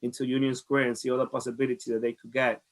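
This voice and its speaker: A man's voice: mid-range voice, level tone, very fast. A man from the Dominican Republic with a Dominican Spanish accent, giving conversational interview answers in an earnest way, often repeating phrases. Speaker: farmers market director being interviewed.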